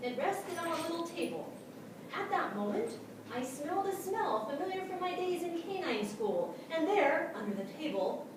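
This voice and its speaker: A naive, earnest dog narrator voiced by a woman, high and very animated, telling a story with comic timing. It sounds like a theatre stage with heavy echo. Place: small theatre stage before an audience. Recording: very echoey theatre, distant, noisy.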